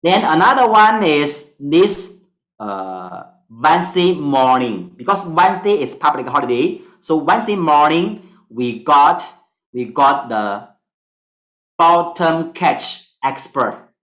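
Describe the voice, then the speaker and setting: A man with a Singaporean accent, whose first language is Mandarin, teaching an online stock analysis class in halting English full of fillers. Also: on the high side, big pitch swings, fairly bright tone, reverberant, poor audio.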